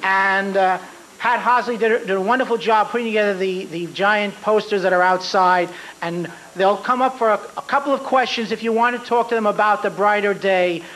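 Halting emcee with a high voice. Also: male voice, a bit bright, poor audio. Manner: halting, filler-heavy. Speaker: convention emcee. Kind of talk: stage announcements. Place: hotel ballroom.

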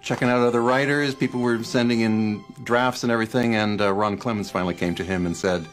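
Male interviewee, lowish voice, informal recollection, faint music underneath. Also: steady tone, fairly quick, poor audio.

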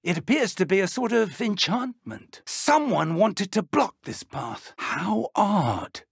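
An older man with a British accent voicing an earnest, kindly wizard mentor in a video game, speaking in a measured, formal, articulate way. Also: high voice, big pitch swings, vocal fry, slightly rough, no room sound, clean studio sound, heavily acted. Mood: grave, wondering. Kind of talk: video game character dialogue.

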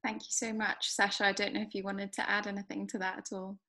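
A woman's voice: medium pitch, flat delivery, fast talker, sharp tone. A woman moderating a panel on a video call, polite and facilitating.

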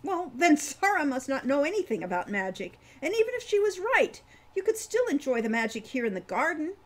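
A woman voicing an impulsive, excitable young girl who talks eagerly and pushes her point. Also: sits high, brisk, voice pushed up. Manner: bubbly, eager, pushy.

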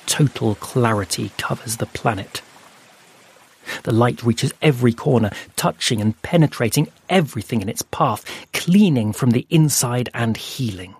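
A man narrating an audio fable in a slow, hushed storytelling manner with dramatic pauses. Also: mid-range voice, lively intonation, slightly rough, dry recording. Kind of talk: scripted audio fiction narration. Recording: soft music underneath.

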